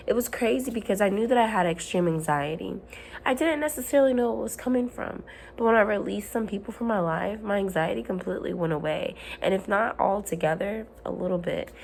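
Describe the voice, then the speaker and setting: A woman at home, hoarse while her voice comes back, giving an earnest, rambling motivational talk to camera with frequent ums and restarts. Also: on the low side, slight background noise. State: hoarse, recovering from lost voice. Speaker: self-help vlogger.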